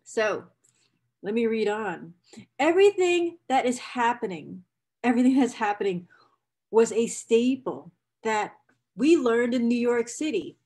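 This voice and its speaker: A woman's voice: fairly high pitch, animated, measured pace, a little mellow. A woman reading a book excerpt aloud as a presenter, with casual asides to viewers, speaking enthusiastically and repeating phrases for emphasis. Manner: enthusiastic, emotionally invested.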